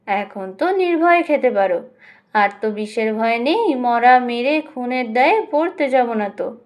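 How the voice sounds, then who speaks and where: A high-pitched, sharp-tongued matriarch of middle years, acted by a woman reader. She is sly and domineering, teasing with mock-innocent cries in an audio storybook. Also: expressive, unhurried. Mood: taunting. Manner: taunting, mock-innocent exclamations.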